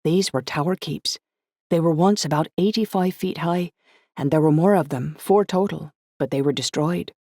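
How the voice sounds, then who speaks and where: A woman narrator puts on a small young woman in a story. Her voice sits higher. She sounds keen and captivated by old tales, in a dry, close recording. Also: brisk, a little mellow, dry recording, lightly acted. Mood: spellbinding. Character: small young Irish woman, eager history enthusiast, mesmerizing lilt.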